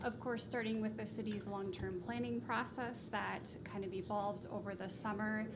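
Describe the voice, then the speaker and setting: A soft-spoken woman presenting budget figures to a city council, polished with occasional ums. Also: mid-range voice, steady tone, moderate pace. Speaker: finance consultant presenting. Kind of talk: formal presentation. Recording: noisy meeting room recording.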